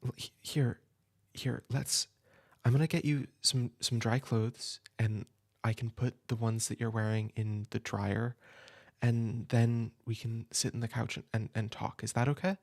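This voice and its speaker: A man playing a caring older brother who shelters a younger sibling, soft-spoken and reassuring, sometimes dropping to a whisper, in a comfort audio roleplay. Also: mid-range voice, flat delivery, a little slow. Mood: gentle. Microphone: home desk mic.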